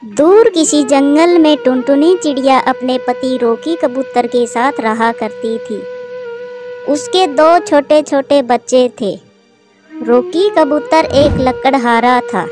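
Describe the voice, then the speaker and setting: Female storyteller, high voice, scripted children's narration, music underneath. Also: slow. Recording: music bed behind the voice.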